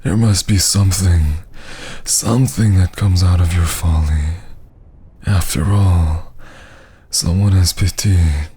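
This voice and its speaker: A man playing a haughty, menacing vampire lord in an ASMR roleplay, breathy and husky, often dropping to a whisper, with theatrical archaic phrasing. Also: deep voice, fairly even intonation, takes it slowly, mellow, exaggerated voice. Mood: suspicious, taunting.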